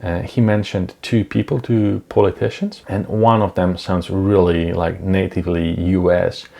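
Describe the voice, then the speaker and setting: A man in his thirties who speaks English as a second language, talking casually to camera in a home studio on a lapel mic, unhurried and with many ums and uhs. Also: deep voice, level tone, vocal fry, dark tone. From Europe. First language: not English. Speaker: vlogger. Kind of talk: casual talking-head vlog. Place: small dim home studio, indoors. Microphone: clip-on lapel mic.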